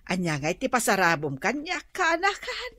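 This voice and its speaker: A woman's voice: fairly high pitch, wildly swooping pitch, moderate pace, sharp tone, no room sound, clean studio sound, full character voice. A woman acting a bossy, wheedling auntie in a radio comedy drama, full of exclamations, with an Ilocano accent.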